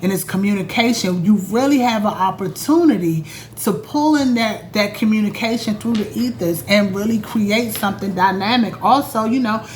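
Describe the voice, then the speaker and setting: A woman talking casually to her viewers about astrology from her home, chatty and animated, calling them y'all. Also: medium pitch, normal speed, a little hiss or hum. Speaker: astrologer and vlog host. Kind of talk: casual spiritual vlog talk.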